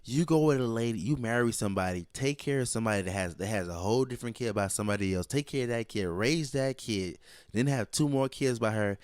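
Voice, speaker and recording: A man's voice: lowish voice, fast talker, darkish tone, clean tone, dry recording, studio quality. A man co-hosting a podcast with casual, slangy, disapproving commentary, often repeating his phrases. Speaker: podcast co-host.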